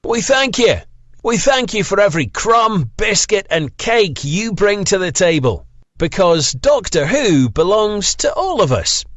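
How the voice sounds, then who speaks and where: A man's voice: sits high, sing-song, a little slow, fairly bright tone. A man reading a scripted show outro as a theatrical announcer, grandiose and declamatory, in a roomy, slightly dull recording.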